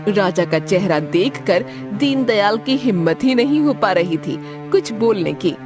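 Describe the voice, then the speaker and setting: A woman narrating a cartoon story in a clear storytelling manner, over background music. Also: mid-range voice, expressive, measured pace.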